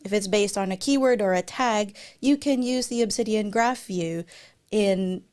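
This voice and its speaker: A woman's voice: medium pitch, moderate pace, darkish tone. A woman in her thirties, a native Tagalog speaker, fields audience questions from an indoor room over a webcam. She keeps it casual and chatty, with frequent fillers and restarts. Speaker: guest speaker. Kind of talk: live Q&A answers on a video call. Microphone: webcam video call.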